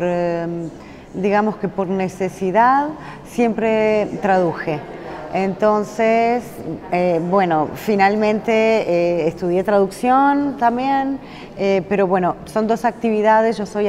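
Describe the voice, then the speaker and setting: A woman from Portugal who produces and translates theatre, answering slowly and hesitantly in an interview. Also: medium pitch, warm tone, big-room sound. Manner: slow, hesitant.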